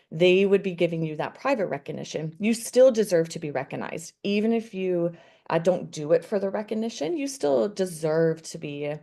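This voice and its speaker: Woman, webinar co-host, chatty, upbeat, low pitch, mellow tone. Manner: chatty, upbeat, reads audience chat. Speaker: webinar co-presenter. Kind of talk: live webinar talk.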